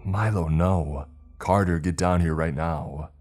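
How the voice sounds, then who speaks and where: Guy playing bossy fed-up man in a horror story, urgent and blunt, deep voice, faint music. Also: expressive, moderate pace, dark tone, husky, full character voice. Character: exasperated, commanding man demanding answers from his panicking companion.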